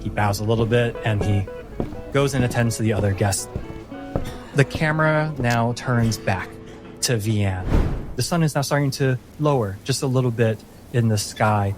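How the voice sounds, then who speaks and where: A man's voice: mid-range voice, normal speed. A man narrating a tabletop game as game master, lingering dramatically on descriptive words, with music underneath.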